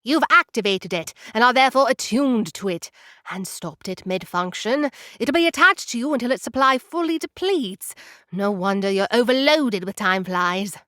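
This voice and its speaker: A woman playing a calm, dry tech advisor. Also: fairly high pitch, dry recording. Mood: explaining. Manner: measured, explanatory, dry.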